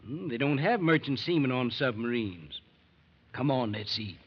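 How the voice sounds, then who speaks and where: A man's voice: medium pitch, expressive, normal speed, slightly rough, muffled recording. An actor playing an anxious, plain-spoken farmer and father in an old-time radio drama. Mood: folksy, fatherly. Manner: plain-spoken, hesitant, rustic colloquial phrasing.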